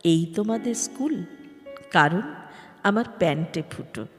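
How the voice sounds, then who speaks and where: Actress as proud wealthy woman, desperate and remorseful, audio drama, slow theatrical delivery, long reverb, faint music behind. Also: lowish voice, animated. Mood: wistful reciting. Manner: theatrical, slow, heavily emotional delivery with breathy whispers. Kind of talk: Bengali audio drama acting.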